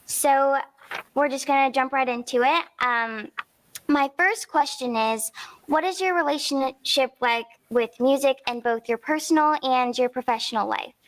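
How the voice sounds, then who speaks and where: A woman's voice: high-pitched, measured pace, low-quality recording. A fourteen-year-old girl, a student co-host, moderating a panel in an upbeat, polite way. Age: teens.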